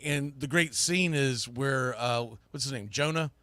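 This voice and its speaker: Bright, forceful male sports podcast host. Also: mid-range voice, measured pace, no echo. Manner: opinionated, informal, mildly salty. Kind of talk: casual live sports talk.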